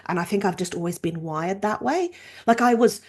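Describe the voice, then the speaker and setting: A woman in her fifties from Norway, a chiropractor and researcher telling her story in a casual, animated interview. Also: mid-range voice, brisk. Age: 50s. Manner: animated storytelling, acts out quoted voices.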